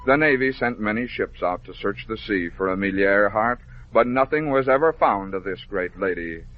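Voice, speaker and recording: An American man narrating a scripted story for children as a 1950s radio host, phrasing it clearly and dramatically. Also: fairly low pitch, animated, normal speed, soft background music. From American Midwest. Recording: dull, noisy vintage 1950s radio recording.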